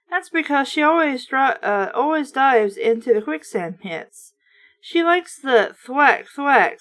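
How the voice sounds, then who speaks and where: A woman hosting an informal read-aloud of books, with casual, snarky asides along the way. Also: fairly high pitch. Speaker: host reading books aloud.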